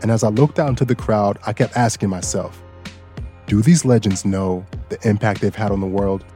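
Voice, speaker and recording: A man hosting a news podcast, narrating a personal story in a relaxed, lightly joking way. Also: fairly low pitch, moderate pace, soft background music. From Bangkok, Thailand. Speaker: guest host and audio engineer.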